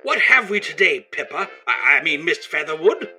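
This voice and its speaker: A pompous, animated English radio host. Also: male voice, fairly high pitch, moderate pace, slightly rough, faint music behind, full character voice. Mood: chatty, pompous. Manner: prim, flowery, exclaiming. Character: jolly, pompous old-time English radio show host. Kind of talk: mannered old radio comedy of manners.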